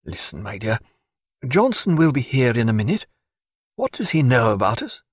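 A man voicing a reserved, discreet doctor in an audiobook, quietly civil, measured and guarded. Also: big pitch swings, husky, no echo. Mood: guarded, intent. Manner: low-key, measured, guarded. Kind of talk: audiobook character voice.

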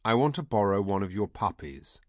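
A man voicing a kindly, grave old wizard in an audiobook, speaking gently and with wry understatement. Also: lowish voice, animated, fairly quick, dry recording, lightly acted. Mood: grave, soft. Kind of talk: audiobook character voice.

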